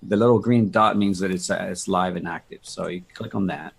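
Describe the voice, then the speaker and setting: A man with a gravelly, husky voice giving a casual software walkthrough on a video call, thinking aloud hesitantly. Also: low voice, fairly quick. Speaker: guest demonstrating software.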